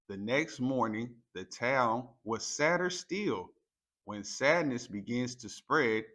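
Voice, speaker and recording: A man reading a children's picture book aloud as a storyteller, in a deliberate, expressive way. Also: medium pitch. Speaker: storytime reader.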